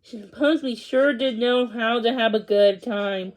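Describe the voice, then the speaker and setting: Female storybook reader, high-pitched voice, narrating for children with slightly halting delivery. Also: rough recording.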